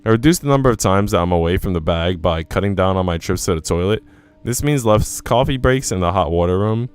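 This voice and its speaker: Male let's player, lowish clean voice, narrating a visual novel expressively with off-script remarks, soft music underneath. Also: lively intonation, fairly quick, smooth. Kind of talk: expressive read-aloud of story narration and dialogue.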